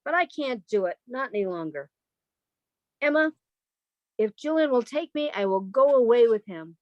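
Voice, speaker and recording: A woman's voice: fairly high pitch, animated, moderate pace, no room sound, highs cut off, close to an everyday voice. A woman playing a sheltered, romantic country spinster of thirty-five in an old-time radio melodrama, earnest and girlish. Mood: defiant.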